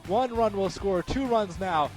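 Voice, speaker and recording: A young American man calling live sports play-by-play for radio in an excited, emphatic manner. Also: high-pitched, a little slow, soft background music. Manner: excited, emphatic, rising to shouts on big plays.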